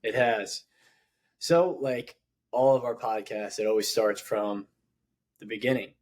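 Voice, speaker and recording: A man's voice: on the low side, steady tone, measured pace, a little mellow, slight background noise. A man hosting a podcast, asking loose, conversational questions with plenty of fillers. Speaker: podcast host. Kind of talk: casual interview.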